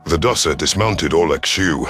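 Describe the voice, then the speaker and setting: A man performs a proud reptilian alien commander narrating a space war story. The voice is low and stern, with formal phrasing and a gruff temper. Music sits behind it. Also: moderate pace, a bit bright, exaggerated voice. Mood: grave narration. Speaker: narrator in character. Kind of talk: first-person scripted narration.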